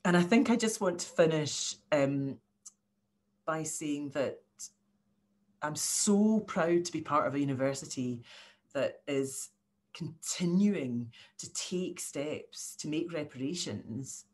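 Low-pitched older woman, earnest. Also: animated, slow, a little mellow. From Scotland. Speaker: university professor, panel speaker. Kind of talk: informal speech at an online launch. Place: living room at home, indoors. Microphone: video call.

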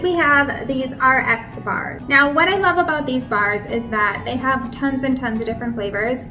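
A woman in her thirties from Minnesota, chatting to the camera at home as she holds up items one by one. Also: sits high. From Minnesota, USA. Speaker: vlogger. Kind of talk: casual product show-and-tell. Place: bright home room indoors.

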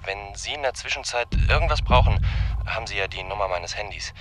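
Guy playing nervous apologetic lawyer man in a radio play, lowish voice, halting, music behind. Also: natural voice. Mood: placating, uneasy. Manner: hedging, halting, placating. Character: anxious, apologetic male defence lawyer preparing his condemned client. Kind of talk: German radio drama acting.

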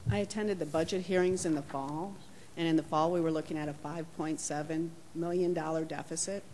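Low, flat woman voicing budget concerns in a reverberant auditorium. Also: a little fast. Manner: concerned, steady, questioning. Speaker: community member giving public comment. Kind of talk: public comment at a school board meeting. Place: large auditorium. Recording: reverberant hall, faint room noise.

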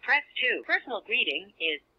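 A woman's voice: fairly high pitch, sing-song, moderate pace, sharp tone, breathy edge. A woman reading scripted automated voicemail prompts, heard through a telephone. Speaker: automated voicemail system announcer. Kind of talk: scripted phone menu prompts. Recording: dull lo-fi phone speaker playback.

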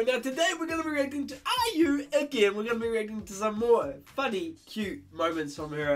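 Animated young man with a stuffy nose. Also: high voice. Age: young adult. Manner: animated, exclaiming. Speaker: reaction video host. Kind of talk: casual excited reaction commentary. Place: small home room.

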